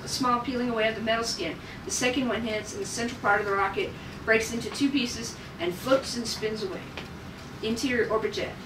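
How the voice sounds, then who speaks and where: Woman, script narrator, table read, slightly high voice, distant mic, noisy background. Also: reverberant. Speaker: script narrator and reading leader. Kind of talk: scripted narration, reading stage directions.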